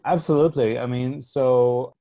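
A man, a Hebrew speaker, answering interview questions as a sociologist in a measured, explanatory way, thinking aloud as he goes. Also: mid-range voice, steady tone, warm tone. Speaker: sociologist guest.

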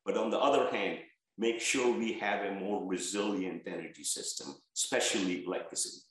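Man from Bangladesh, research leader, earnest and listing, lowish voice. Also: brisk, a bit bright, heavy reverb, poor audio. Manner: earnest, stresses key words, lists points. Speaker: head of energy research, interview guest. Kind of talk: interview answers.

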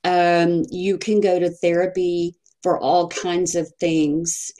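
A woman with a Texan accent speaks slowly and hesitantly, pausing often as a podcast guest. Her voice sits a little low and sounds mellow and warm. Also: level tone. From Texas. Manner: soft-spoken, hesitant, many pauses and fillers. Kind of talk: personal testimony in a podcast interview.

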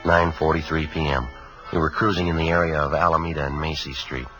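A low-pitched, terse police sergeant in a 1950s radio crime drama. He speaks in short, understated, businesslike phrases, on a vintage recording with music at times. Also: steady tone, a little fast, rough recording. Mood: flat, matter-of-fact. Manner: clipped, understated, matter-of-fact. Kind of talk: 1950s radio crime drama acting and first-person narration.

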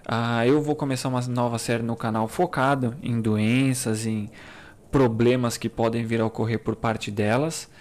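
A man's voice: medium pitch, steady tone, normal speed, a little mellow. A young Brazilian man hosting his own channel, explaining things casually with lots of fillers and asides. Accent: Brazilian Portuguese. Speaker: YouTube channel host.

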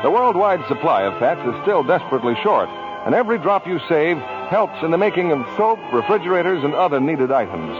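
Male announcer, American, highish animated voice, formal 1940s radio delivery over music. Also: brisk. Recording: dull old radio, music behind.

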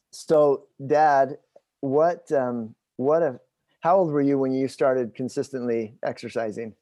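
Mellow man in his forties, closely recorded. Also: medium pitch, dry recording. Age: 40s. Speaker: host interviewing his father. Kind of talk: conversational explanation and interview.

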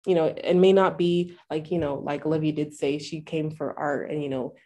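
Woman, podcast co-host, congested, low voice, chatty. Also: steady tone, normal speed, warm tone. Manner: supportive, loose and chatty. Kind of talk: casual interview chat.

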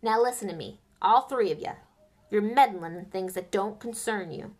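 A woman voicing a big, gruff half-giant gamekeeper who gets hot-tempered and defensive, in an audiobook reading. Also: mid-range voice, normal speed, natural voice. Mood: gruff, heated. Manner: gruff, hot-tempered, country-folk speech.

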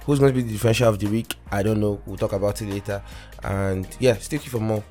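A man's voice: lowish voice, fairly even intonation, fast talker, warm tone, soft background music. Nigerian man hosting a rambling podcast. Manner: rambling, many fillers and self-corrections. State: morning voice, deeper than usual. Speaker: podcast host. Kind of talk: casual solo fantasy football podcast.

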